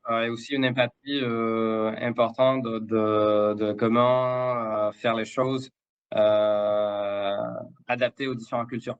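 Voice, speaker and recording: A man's voice: medium pitch, level tone, takes it slowly, smooth, dull audio. Hesitant Mexican man, Québécois-tinged French. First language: Spanish. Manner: hesitant, many fillers and repeated words. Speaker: guest, international development director. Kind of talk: live interview.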